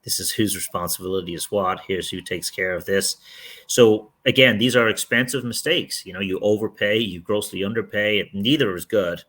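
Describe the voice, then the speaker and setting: Deep-voiced, forceful middle-aged man. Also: normal speed. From outside the United States. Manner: candid, rambling, with frequent restarts. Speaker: real estate team leader, podcast guest. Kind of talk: casual interview answers.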